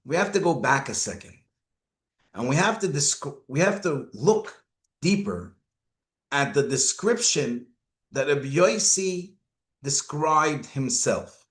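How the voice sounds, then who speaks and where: A man's voice: a little high, expressive, takes it slowly, a bit bright, roomy sound. A man giving a Torah lecture as a rabbi, asking rhetorical questions and mixing Hebrew and Yiddish terms into his English. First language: not Hebrew. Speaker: rabbi teaching.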